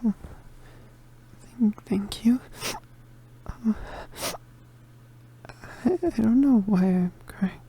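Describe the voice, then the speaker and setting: Woman voicing a breathy, wounded young witch boy. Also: on the high side, mellow, slight background noise, rough recording. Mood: tearful, scared. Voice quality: breathy, often whispered. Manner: halting, stammering, hesitant. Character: injured young male witch, gentle, protective, vulnerable. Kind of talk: ASMR roleplay, soft intimate acting.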